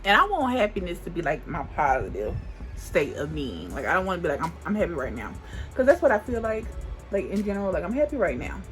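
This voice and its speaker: A woman's voice: lowish voice, wildly swooping pitch, quick pace, soft background music. A woman in her twenties chatting casually to camera while doing her makeup, rambling and restarting her sentences, with speech. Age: 20s. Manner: rambling, thinks aloud with many restarts and "like". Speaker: beauty vlogger.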